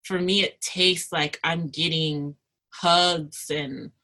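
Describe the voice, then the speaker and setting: A woman's voice: deep voice, steady tone, slow, sharp tone. A woman hosting an interview, chatty and casual with slang and easy laughter. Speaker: interview host.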